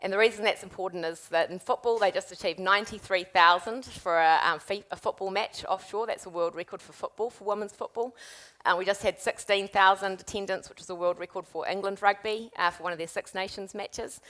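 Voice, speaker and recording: A woman in her forties with a New Zealand accent, speaking on a panel as a sports event executive, brisk and upbeat. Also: medium pitch, fairly even intonation, a bit bright. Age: 40s. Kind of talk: panel discussion.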